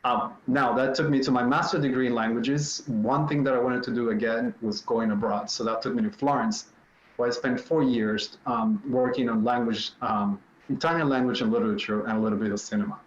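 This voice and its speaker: A man in his fifties with a Puerto Rican Spanish accent speaks in a relaxed, storytelling way and fills pauses with ums. His voice sits at an ordinary pitch for a man. It sounds a little dull and roomy, as if picked up in a room at home over a video call. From Puerto Rico. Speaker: panelist. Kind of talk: virtual panel talk. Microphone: video call mic.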